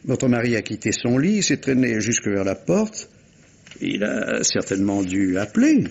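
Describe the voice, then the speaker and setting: Un acteur qui joue, à la manière d'une dramatique policière radiophonique, un inspecteur de police poli, hésitant mais tenace, à la voix voilée. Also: male voice, lowish voice, sing-song, normal speed, vocal fry, a little mellow, slightly rough, exaggerated voice. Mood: probing, measured. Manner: courteous, apologetic, hesitant with repeated words. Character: polite, persistent, hesitant male police inspector. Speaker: actor in a radio mystery play. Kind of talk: French radio mystery drama acting, 1950s manner. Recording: dull vintage radio recording.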